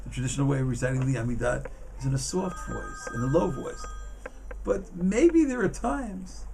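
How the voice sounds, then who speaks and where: A man giving an informal Torah class as a rabbi, in a halting, emphatic manner that often repeats words. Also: medium pitch, very animated, creaky, dark tone, a little hiss or hum, low-quality recording. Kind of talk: informal religious lesson.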